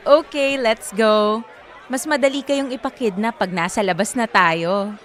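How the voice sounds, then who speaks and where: A woman's voice: on the high side, lively intonation, slow pace. A woman playing a self-assured, teasing but wary young woman in a Taglish audio drama. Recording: music and crowd bed behind. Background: music, guitar, crowd chatter.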